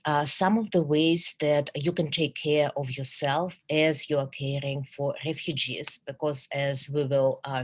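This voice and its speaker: A middle-aged woman from Russia with a Russian accent, a psychologist giving an earnest, measured webinar presentation with many fillers and restarts over a video call. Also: low voice.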